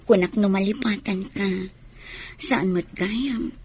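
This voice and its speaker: A woman's voice: medium pitch, slow pace, darkish tone, husky. A woman playing a scheming, possessive, sulky former girlfriend with an Ilocano Filipino accent in a radio drama. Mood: pleading.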